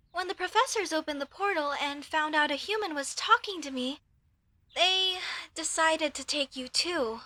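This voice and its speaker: Woman acting as young elf mage, female, high-pitched, bright, earnest, apologetic, hesitant asides, comedy audio roleplay. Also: exaggerated voice. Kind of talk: comedy audio roleplay, speaking softly to the listener.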